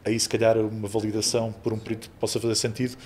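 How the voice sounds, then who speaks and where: A man's voice: on the low side, flat delivery, fast, darkish tone, slight background noise. A man in his thirties hosting a podcast, asking questions with lots of hedging fillers. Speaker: podcast host. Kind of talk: conversational interview questions. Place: podcast studio room with sofas. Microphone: studio mic on boom arm.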